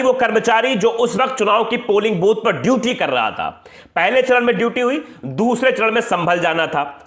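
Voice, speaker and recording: A male news presenter with a high, very lively voice delivers political commentary. He speaks emphatically and colloquially, throwing out rhetorical questions. The sound has a strong room echo. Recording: echoey room.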